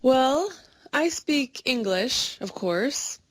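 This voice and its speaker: A woman speaking clearly and simply in a slow conversation practice recording. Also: sits high, expressive, no room sound. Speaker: English speaker in a practice dialogue.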